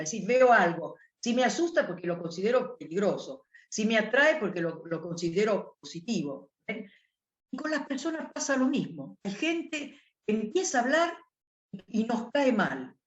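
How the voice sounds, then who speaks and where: A woman with an Italian accent delivers an academic lecture in a thoughtful, explanatory way, often pausing to rephrase. Her voice sits in a middle register. The sound carries a noticeable room echo. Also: medium pitch. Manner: thoughtful, restarts phrases while explaining. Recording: echoey room.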